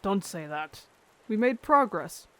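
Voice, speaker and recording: A man's voice: sits high, sing-song, slow, fairly bright tone, exaggerated voice. A man playing an earnest, caring young bird-folk rogue in an audio drama. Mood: concerned, gentle.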